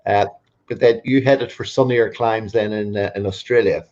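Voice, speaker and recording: Low-voiced interview host on muffled audio. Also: male voice, fairly even intonation, moderate pace, a little mellow. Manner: hesitant, affirming with short backchannels. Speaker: host, podiatrist. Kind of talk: casual interview. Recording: dull lo-fi audio.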